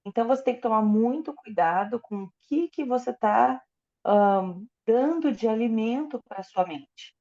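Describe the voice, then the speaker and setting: A woman's voice: fairly high pitch, expressive, a little slow, mellow, muffled recording. A woman interpreting a lecture into Portuguese, often hesitating and correcting herself as she goes. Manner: hesitant, with fillers and self-corrections.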